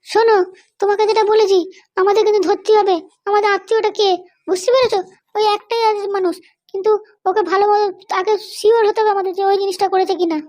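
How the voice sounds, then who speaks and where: A middle-aged Bengali wife with an extremely high, childlike cartoon voice. She talks quickly and bossily, nagging and commanding, always suspicious and scheming. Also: little pitch movement, a little mellow. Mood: irritated, commanding. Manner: nagging, commanding, keeps demanding to be understood. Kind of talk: animated comedy cartoon dialogue.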